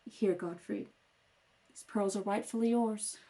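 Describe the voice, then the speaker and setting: A woman acting out a proud young society woman, now humbled and speaking formally and softly, in an amateur radio play read-through. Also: mid-range voice, fairly even intonation, unhurried, close to an everyday voice. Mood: humbled, contrite.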